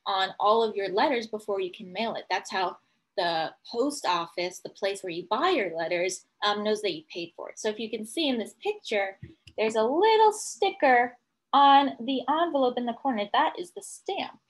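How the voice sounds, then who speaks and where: A woman teacher reading a picture book to young children, explaining it as she goes and thinking aloud in an animated way. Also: a little high, normal speed.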